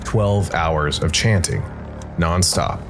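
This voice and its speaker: A man with a low voice narrates a dark fantasy tale from a script. His delivery is measured, breaking into short phrases with dramatic pauses. Faint music plays behind him. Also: gravelly. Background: background music.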